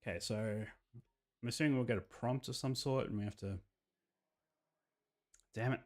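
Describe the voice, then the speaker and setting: A man's voice: medium pitch, normal speed, a little mellow. A man giving casual commentary on a video game playthrough, reacting and musing aloud as he reads. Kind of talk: game playthrough commentary, reading visual novel dialogue aloud.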